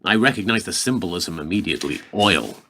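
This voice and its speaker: An actor playing an earnest, formal and deferential intelligence adviser to a president in a radio play. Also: male voice, deep voice, expressive, brisk, pushed and bright, full character voice. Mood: urgent, grave. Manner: formal, deferential, emphatic briefing.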